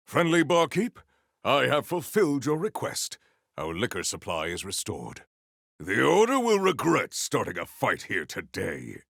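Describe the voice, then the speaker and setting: A man playing a solemn, proud warrior hunter in a video game, speaking in formal, stilted, declamatory sentences with a gravelly low voice. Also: fairly bright tone, no room sound, clean studio sound, exaggerated voice. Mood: bold, grandiose. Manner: formal, stilted, declamatory speech without contractions. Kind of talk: video game character acting.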